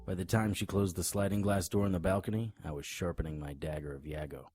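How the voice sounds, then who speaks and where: An actor playing a tough, wisecracking monster hunter in an audio drama, grim and cocky, with a husky voice. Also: male voice, low voice, fast talker, a little mellow, soft background music, lightly acted. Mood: wry narration. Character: hard-boiled male monster hunter, grim, cocky, threatening. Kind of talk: audio drama acting with noir first-person narration.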